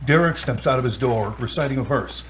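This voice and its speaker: A man's voice: medium pitch, fairly quick, a bit bright, a little hiss or hum, lightly acted. A man narrating a scripted audio drama as its storyteller.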